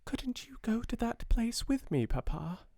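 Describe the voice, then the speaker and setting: A man voicing a small, wistful young girl in an audiobook, in a soft near-whisper with tender, hesitant questions. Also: very high-pitched, sing-song, measured pace, gravelly, dry recording, clean studio sound, pitched above the performer's own voice, heavily acted. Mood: pleading. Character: small wistful seven-year-old girl, soft and mysterious. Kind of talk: audiobook character voice.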